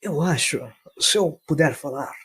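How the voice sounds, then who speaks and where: A man voicing a pompous, dry gentleman doctor in an audio story, courteous with a sombre edge. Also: a little high, expressive, unhurried, husky, heavily acted. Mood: sober sympathy. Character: pompous, dry British gentleman doctor, sympathetic yet sober.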